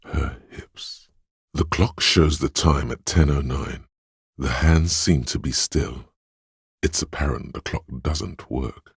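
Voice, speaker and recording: A wry, watchful inner sense of perception from a game, speaking in an extremely low, heavily husky voice. He notes small details in measured, dry observations, close to the mic with no room sound. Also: no echo, heavily acted. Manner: measured, observant, dryly descriptive. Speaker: voice of a detective's perception skill. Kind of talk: scripted game voice lines.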